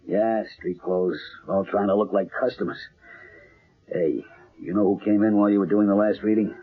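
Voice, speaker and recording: A man's voice: on the low side, fairly even intonation, normal speed, slightly rough. A man playing a stage psychic's loyal, streetwise partner and showman in an old-time radio drama. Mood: casual, low-key. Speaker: actor. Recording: muffled, narrow-band old radio recording.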